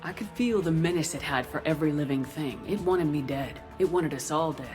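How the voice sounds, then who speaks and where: A woman acts a sci-fi game's military commander, grave and determined. The voice is low for a female speaker, measured and authoritative, with cinematic music clearly behind it. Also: natural voice. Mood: grave recollection. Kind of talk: video game dialogue and scripted narration. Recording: music under the voice.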